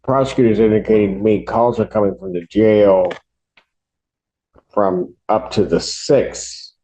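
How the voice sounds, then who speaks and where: A man speaking as a judge, handing down rulings from the bench in a deliberate, halting official way. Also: fairly low pitch, fairly even intonation, dark tone. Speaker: judge presiding over a court hearing. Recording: dull lo-fi courtroom audio.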